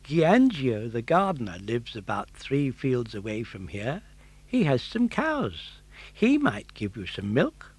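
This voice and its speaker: A man's voice: medium pitch, wildly swooping pitch, takes it slowly, husky, full character voice. A man voicing a small talking cricket, a wise moraliser with a pointed, admonishing manner, in an audiobook. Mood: helpful.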